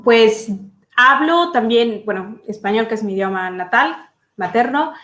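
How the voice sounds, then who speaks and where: A woman's voice: a little high, expressive, unhurried, fairly bright tone, echoey room. A Mexican woman with a Mexican Spanish accent, a translator on an online panel, friendly with hesitant fillers. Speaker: certified translator. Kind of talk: casual online panel chat.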